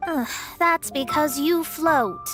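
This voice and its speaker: Game casting: self-assured young female astrologer, high voice, imperious, theatrical and formal, music underneath. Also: slow. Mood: weary, grumbling. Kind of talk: video game voice acting.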